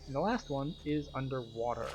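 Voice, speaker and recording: A man with a mid-range voice gives a casual game walkthrough. He explains each step like a tour guide, with clearly audible music behind him. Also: medium pitch, a little fast, low-quality recording.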